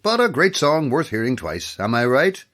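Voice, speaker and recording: An actor with an Irish accent playing a jittery, flippant late-night radio host in a naturalistic audio drama, chatty and blustery. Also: male voice, medium pitch, huge pitch swings, moderate pace, fairly bright tone. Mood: breezy.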